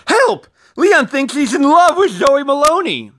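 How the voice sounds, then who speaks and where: A storyteller voicing a bossy, scornful paper Valentine heart in a loud, dramatic storybook style. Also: high voice, very animated, a little slow, slight background noise, pitched above the performer's own voice, exaggerated voice. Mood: panicked.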